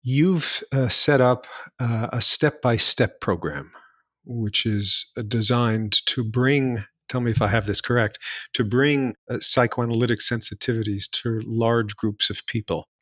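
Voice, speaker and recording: A man's voice: mid-range voice, unhurried, a bit bright, dry recording, studio quality. A man interviewing a guest, asking hesitant questions and checking that he has understood.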